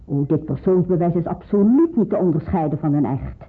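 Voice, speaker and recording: A middle-aged pastor's wife in a radio drama, caring and practical. Her voice is husky, and she explains things in a reassuring, slightly hesitant way. It sounds muffled, as if down a phone line. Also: deep voice, animated, brisk. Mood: briskly explaining. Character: caring, devout pastor's wife aiding people in hiding. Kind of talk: Dutch radio drama acting.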